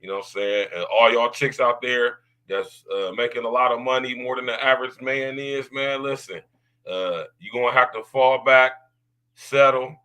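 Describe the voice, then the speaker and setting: Loud deep-voiced man hosting a podcast. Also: fairly even intonation, normal speed, clear, resonant tone, low-quality recording. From United States. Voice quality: deep baritone. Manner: loud, drawling slang, repeats tag questions. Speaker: podcast host. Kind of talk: casual podcast monologue.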